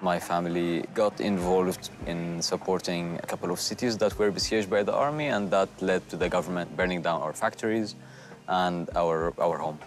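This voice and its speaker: A man in his thirties from Syria, a charity founder, calmly telling his story in a garden interview. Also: sits low, moderate pace, soft background music. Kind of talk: documentary interview. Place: outdoor garden.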